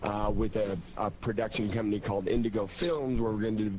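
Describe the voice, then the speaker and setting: Male producer, lowish voice, conversational explaining, clear noise behind him. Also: steady tone, brisk, mellow, dull audio. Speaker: television producer and panel guest. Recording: clearly noisy background.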